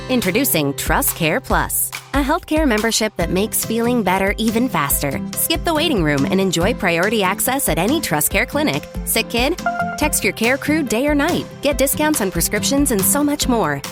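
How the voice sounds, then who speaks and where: A woman reading scripted commercial narration as an announcer. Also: medium pitch. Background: music bed.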